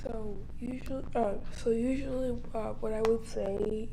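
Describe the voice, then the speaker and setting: A woman with a fairly high, gravelly voice talks very slowly at a memorial service. She halts and repeats herself, breaking into soft laughs, with faint noise in the room. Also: fairly even intonation, rough recording. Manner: slow, halting, with soft laughs. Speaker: eulogy speaker, grandchild of the deceased. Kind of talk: unscripted memorial speech. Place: funeral service hall.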